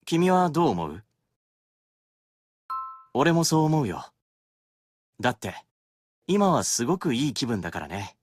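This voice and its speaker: Man playing an expressive, affectionate young male demon. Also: medium pitch, a little hiss or hum, lightly acted. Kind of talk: Japanese game voice acting, intimate phone monologue.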